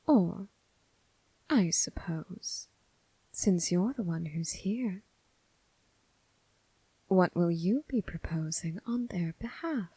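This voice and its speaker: A woman acting as a seductive, coolly amused and menacing crime boss in an ASMR roleplay, speaking in a breathy near whisper with deliberate, teasing, formal phrasing. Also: lowish voice, animated, mellow, no echo, exaggerated voice, rough recording. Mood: amused, businesslike.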